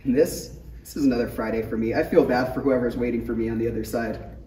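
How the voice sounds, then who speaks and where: Man playing a mellow young apprentice hero. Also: mid-range voice, fairly even intonation, fast, natural voice. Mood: tender confidence. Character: cocky, sincere young Jedi apprentice man. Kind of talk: parody script table read. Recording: echoey room, distant mic, faint noise.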